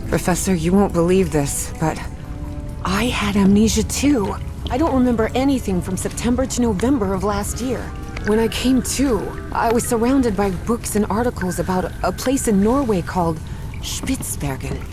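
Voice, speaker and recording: A woman's voice: medium pitch, expressive, normal speed, music in the background, lightly acted. An actress playing an eager, curious young woman explorer in a video game. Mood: shaken, puzzled.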